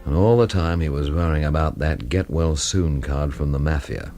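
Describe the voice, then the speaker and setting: A man's voice: extremely low pitch, moderate pace, dark tone. An actor playing a wry, sardonic Englishman with an English accent in a radio mystery, both narrating and in dialogue. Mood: wry, brooding. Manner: wry, dry, scornful wordplay. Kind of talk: radio drama acting with first-person narration. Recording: radio drama with music behind.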